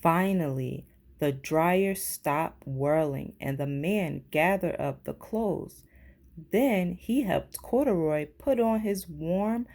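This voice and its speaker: A woman's voice: lowish voice, animated, slow, darkish tone. A woman reading a children's picture book aloud as a teacher would. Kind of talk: children's storybook narration.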